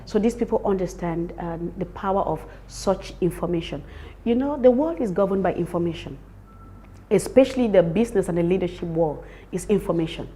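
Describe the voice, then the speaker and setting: Low, mellow-voiced Cameroonian woman, emphatic. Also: fairly quick. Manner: emphatic, repeats words for stress. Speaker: summit organiser being interviewed. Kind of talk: promotional interview talk.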